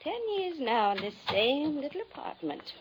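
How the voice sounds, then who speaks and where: A woman's voice: high voice, big pitch swings, normal speed. A woman playing a genteel, flighty older widow who chatters and trails off, in 1950s radio style. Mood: gracious, fluttery. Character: flighty, genteel old former showgirl, reclusive widow. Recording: noisy vintage radio drama recording.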